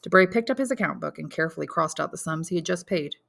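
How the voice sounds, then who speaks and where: A woman's voice: sits low, lively intonation, quick pace, gravelly, a bit bright. A woman reading a literary story aloud as scripted audiobook narration. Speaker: audiobook narrator, English teacher.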